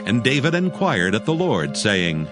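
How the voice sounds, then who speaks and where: A man narrating dramatized scripture as a scripted reading, with solemn, measured storytelling. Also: medium pitch, very animated, music in the background.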